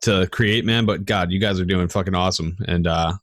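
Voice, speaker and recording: A man's voice: low voice, steady tone, a little fast, a bit bright, no room sound, clean studio sound. An American man hosting a podcast with enthusiastic, slangy, casual talk. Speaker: podcast host. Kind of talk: casual chat.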